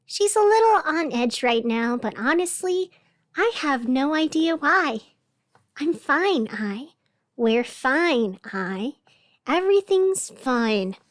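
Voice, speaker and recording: An actress playing an anxious radio host in a scripted fictional broadcast, deliberate and emphatic with strained cheerfulness. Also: female voice, high voice, very animated, dry recording, studio quality, exaggerated voice. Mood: wry, chatty, then nervous denial. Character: anxious woman radio host insisting everything is fine.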